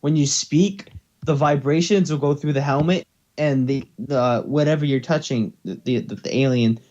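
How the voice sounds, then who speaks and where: A man with a slightly high voice chats casually as a co-host. He rambles and corrects himself mid-thought. The recording is a little dull and lo-fi. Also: normal speed, darkish tone. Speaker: co-host of a fan fiction reading channel. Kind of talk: casual chat.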